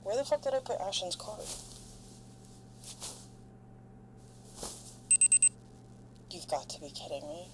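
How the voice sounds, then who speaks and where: A woman playing a frightened, foul-mouthed stranded spaceship crew member, recording halting, weary log entries in an audio drama. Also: fairly low pitch, sing-song, noisy background. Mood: anxious, frustrated.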